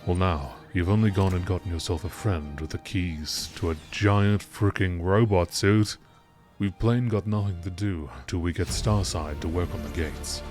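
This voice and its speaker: A man's voice: low voice, normal speed, music in the background, natural voice. A man voicing a cocky, chummy space rig worker from a game message. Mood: chummy boasting. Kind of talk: reading a game message in character.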